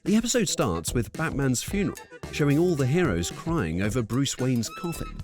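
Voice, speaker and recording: A man's voice: medium pitch, wildly swooping pitch, moderate pace. A man reading scripted narration for a countdown video. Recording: music under the voice.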